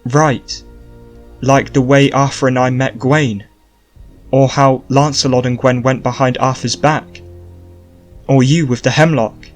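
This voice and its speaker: Male reader playing a thoughtful young sorcerer, slow, mid-pitched voice. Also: steady tone, faint music behind, natural voice. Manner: plain, unhurried reading. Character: young male sorcerer piecing things together.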